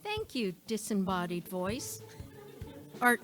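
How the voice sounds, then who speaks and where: A woman's voice: mid-range voice, sing-song, slow pace, over music. A woman playing an earnest, wide-eyed listener in a scripted radio promo sketch, with a theatrical, wondering manner. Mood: delighted.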